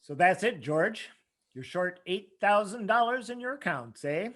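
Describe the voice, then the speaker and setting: Guy doing mean old rich man in a radio play, high voice, draws it out slow, sneery. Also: big pitch swings, a bit bright, a little hiss or hum, exaggerated voice. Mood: sneering. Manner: slow, contemptuous. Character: cold, sneering old rich villain, male. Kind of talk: old-time radio drama acting.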